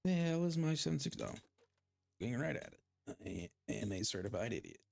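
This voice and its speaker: A man's voice: mid-range voice, sing-song, moderate pace, gravelly. A man streaming a game, chatting casually with his viewers, rambling and poking fun at himself as he plays. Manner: rambling, self-deprecating, chatting with viewers while playing. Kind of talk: casual gaming livestream chat.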